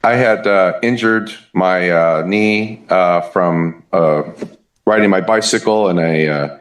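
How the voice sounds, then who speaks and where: A man in his fifties from the Southern United States with a Southern US accent, chatting as a musician guest in an interview, relaxed and hesitant with many fillers. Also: low-pitched, slow pace. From Southern United States (Kentucky, Memphis). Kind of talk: casual interview chat. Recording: echoey room.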